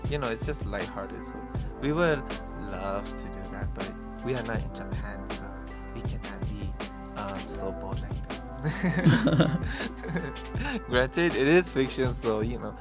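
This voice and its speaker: Jokey man chatting over loud music. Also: lowish voice, expressive, unhurried. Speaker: game developer answering questions. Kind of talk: casual live Q&A chat. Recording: prominent background music.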